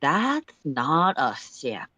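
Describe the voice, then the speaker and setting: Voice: medium pitch, huge pitch swings, extremely slow, bright, forceful tone, no echo, exaggerated voice. A storyteller voicing a blunt, bossy male alien spaceship crewmate, curt and sardonic in manner. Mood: argumentative. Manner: curt, sardonic, matter-of-fact.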